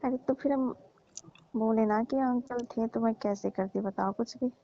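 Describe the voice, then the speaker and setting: A woman's voice: fairly high pitch, measured pace, warm tone. A woman playing a coaxing, teasing middle-aged auntie who persuades and placates in a staged comic phone call. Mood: defensive, teasing. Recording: dull, dry lo-fi phone recording.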